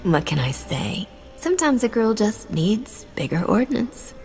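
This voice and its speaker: A woman's voice: mid-range voice, big pitch swings, a little slow, darkish tone, long reverb tail, faint music behind, poor audio. An actress playing a cocky female smuggler captain in a video game, dry, wry and blunt. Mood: teasing, cool. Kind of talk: video game dialogue acting.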